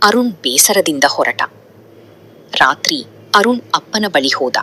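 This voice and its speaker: A woman's voice: deep voice, big pitch swings, measured pace, fairly bright tone, breathy edge. A woman narrating a scripted moral story.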